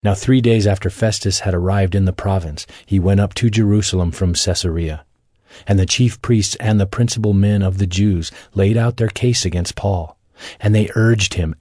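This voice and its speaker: A deep-voiced man reads scripture as a scripted narrator. His voice is husky and closely, dryly recorded, with faint music underneath. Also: mellow, dry recording, clean studio sound. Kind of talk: scripted audio Bible narration.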